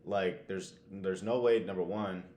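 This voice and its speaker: A man's voice: low voice, steady tone, a little slow, a little mellow, slight background noise. A man co-hosting a podcast, chatting casually and slangily about anime. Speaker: podcast co-host.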